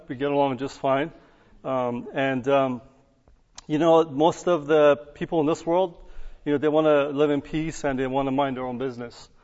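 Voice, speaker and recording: A man from Iran sharing his conversion testimony with an audience, in a halting way full of fillers and restarts. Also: fairly high pitch. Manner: halting, heavy with fillers and restarts, light chuckles. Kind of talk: personal testimony talk to an audience. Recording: echoey hall, dull lo-fi sound.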